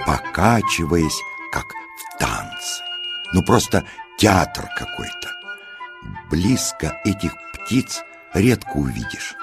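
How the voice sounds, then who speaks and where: A man narrating a children's fairy tale as a measured, expressive storyteller. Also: a bass voice. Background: music.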